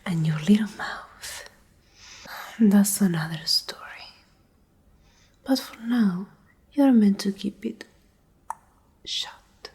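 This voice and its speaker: Breathy, whispering, domineering female Alpha played by a woman. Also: mid-range voice, animated, a little mellow, full character voice. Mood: coldly commanding. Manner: slow, deliberate, condescending. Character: domineering, menacing female pack Alpha, coldly possessive captor. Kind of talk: soft-spoken whispered ASMR roleplay.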